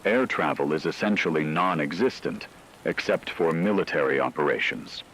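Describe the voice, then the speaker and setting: A man playing a calm, grave radio news anchor, reading a scripted report in a measured, formal, factual way. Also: a bass voice, a little hiss or hum, exaggerated voice, low-quality recording.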